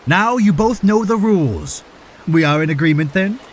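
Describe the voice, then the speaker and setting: A man's voice: sits high, expressive, moderate pace, slight background noise. A man playing a cheerful fish-man prince with grand, theatrical flair in an audio drama. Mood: ceremonious.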